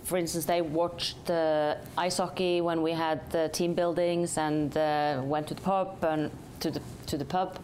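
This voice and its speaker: A woman's voice: sits low, level tone, measured pace, hardly any pauses, a little mellow, a little hiss or hum, low-quality recording. A woman from Norway, a company chief executive, answering interview questions thoughtfully with many hesitations and restarts.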